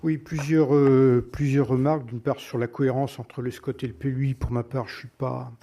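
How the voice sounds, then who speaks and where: A man speaking as an elected official in a council debate, rebutting objections point by point in a measured, argumentative way. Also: mid-range voice, flat delivery, mellow. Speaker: local elected official, vice-president of an intercommunal council. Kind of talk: political speech in a council debate. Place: council meeting.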